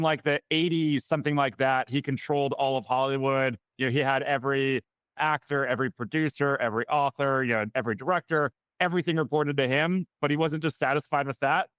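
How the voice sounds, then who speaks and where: A man's voice: mid-range voice, fairly bright tone, clear, resonant tone, dry recording. Friendly male podcast host. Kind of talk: podcast interview.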